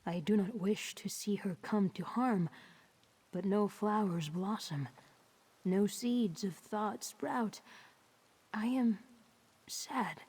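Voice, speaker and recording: Slow, gentle plant spirit. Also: female voice, medium pitch. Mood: sad, troubled. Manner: slow, breathy, wondering, simple formal phrasing. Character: innocent, gentle, newly awakened plant spirit. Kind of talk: scripted video game dialogue.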